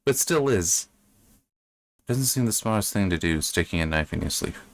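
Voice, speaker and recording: Low-voiced man giving rambling, bemused commentary. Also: expressive. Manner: bemused, rambling, halting with repeated words. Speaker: book reviewer reading and commenting. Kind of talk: casual unscripted commentary on a story.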